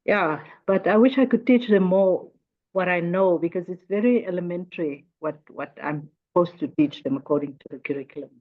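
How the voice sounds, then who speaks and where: A woman's voice: on the low side, brisk. Hesitant South African woman, muffled audio. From Northern Cape, South Africa. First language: Afrikaans. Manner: hesitant, often repeats words while searching for phrasing. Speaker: guest, teacher. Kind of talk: casual conversational interview. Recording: dull lo-fi audio.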